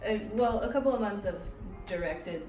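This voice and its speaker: A woman's voice: mid-range voice, moderate pace, darkish tone, far from the mic. Woman, twenties, American accent, casual interview guest, echoey tiled room, background music. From United States. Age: 20s. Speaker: interview guest, Muay Thai fighter. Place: small hard tiled room indoors.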